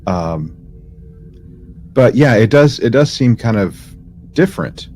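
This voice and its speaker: A man hosting a podcast in casual conversation, talking in a halting, conversational way with frequent fillers. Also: medium pitch, lively intonation, darkish tone. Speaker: podcast host.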